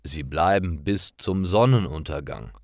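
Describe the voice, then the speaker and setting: A man narrates a simple story for language learners at an unhurried pace. His articulation is careful and his melody is lively. The sound is dry and close, with no room around it. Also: low voice, dry recording. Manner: careful, clearly articulated reading. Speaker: narrator of German listening lessons for learners.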